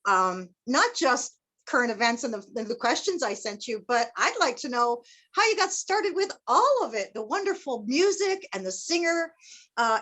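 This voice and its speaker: A woman's voice: high-pitched, pushed and bright, rough recording. A woman hosting an interview, effusive and a bit hesitant as she asks her questions. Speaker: host. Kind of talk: interview host.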